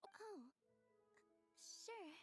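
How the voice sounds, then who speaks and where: An actress playing a gentle, candid, innocent young woman in a video game. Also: very high voice, wildly swooping pitch, very slow, faint music behind, heavily acted. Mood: pleasant. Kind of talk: game voice acting.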